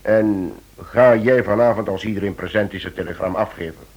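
An actor playing a persuasive police chief in a radio play, speaking deliberately and coaxing with repeated words. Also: male voice, fairly low pitch, darkish tone, low-quality recording. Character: persuasive male police chief pressing a friend for help. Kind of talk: Dutch-language radio play acting.

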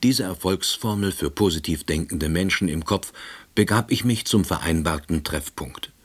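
An actor playing a hapless, pedantic husband and novice salesman who narrates his own story in a radio play, deadpan and precise. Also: sits low, moderate pace, breathy edge. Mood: wry, deadpan.

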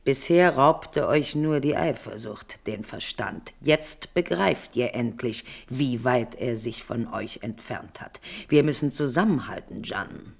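An actress playing a cool, calculating queen mother in a 1970s radio drama, smooth and persuasive with a mocking edge. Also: very deep voice, slow, few pauses, full character voice. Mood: scheming.

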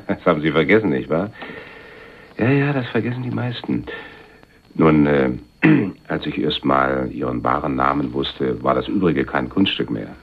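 An actor playing a shrewd, wealthy, condescending power broker in a German crime radio play, speaking in a suave, measured way. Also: male voice, very deep voice, animated, gravelly, slightly rough, exaggerated voice. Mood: smug, cool. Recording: muffled 1980s radio drama recording.

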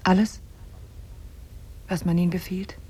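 An actress playing a cunning captive woman who feigns love, soft and seductive, in a 1950s German radio play. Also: lowish voice, little pitch movement, a little slow. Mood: intent, secretive. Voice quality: very breathy, often near whispering. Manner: soft, coaxing, hesitant.

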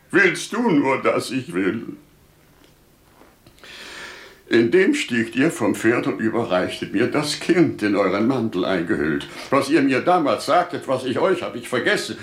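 An actor playing a wise, devout older merchant father in solemn, declamatory, deliberate 1950s radio play style. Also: medium pitch, natural voice. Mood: fervent. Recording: 1950s radio drama recording.

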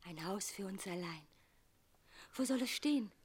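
Ethereal, breathy young bride. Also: female voice, medium pitch, animated, moderate pace. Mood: dreamy. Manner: breathy, lyrical, often whispering. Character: young dreamy lost bride, ethereal and rapturous. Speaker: actress. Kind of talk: German radio drama acting.

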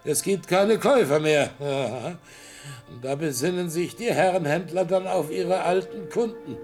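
An actor playing a proud, bitter old blind art collector in a radio play, who swings from boisterous scorn to hushed intensity. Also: male voice, fairly high pitch, measured pace, music in the background. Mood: jovial suspicious. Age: older.

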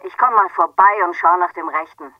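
A woman's voice: on the low side, expressive, a little fast, no echo. An actress playing a blunt, no-nonsense female supervisor, heard through a telephone filter in an audio drama. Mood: blunt concern. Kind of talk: acted audio drama dialogue. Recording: muffled, distant telephone line sound.

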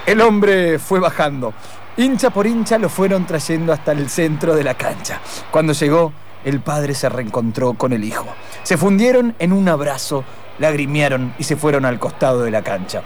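A man's voice: fairly high pitch, lively intonation, moderate pace, a little hiss or hum, close to an everyday voice. A man playing a radio narrator and match commentator, repeating phrases in play-by-play fashion in improvised comedy. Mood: storytelling. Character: breathless football commentator turned storytelling radio narrator.

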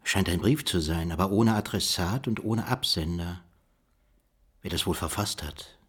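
Pensive male investigator, radio play, low voice, questioning and deliberate. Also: slightly rough. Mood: musing. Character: pensive, questioning male investigator puzzling over coded documents. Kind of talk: radio play dialogue and document reading.

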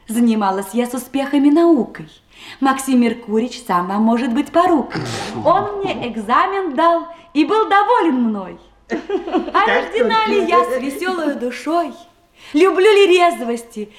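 A spirited young bride in a stage-style radio comedy. Her high voice swoops and lilts as she pleads her case in playful, coy verse, and the room rings lightly around her. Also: lively intonation, a little slow, a crowd in the background. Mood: coy, merry. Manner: declamatory, playful, eager to please. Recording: roomy archive radio play recording.

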